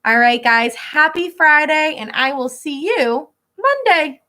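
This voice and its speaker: A woman teacher reading a picture book aloud to young pupils, pausing to explain words in simple terms. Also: sits high, animated, sharp tone.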